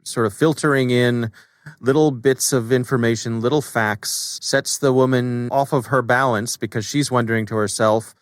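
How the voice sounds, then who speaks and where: A man's voice: medium pitch, steady tone, normal speed, no room sound, clean studio sound. A man hosting a podcast in a conversational style. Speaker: podcast co-host.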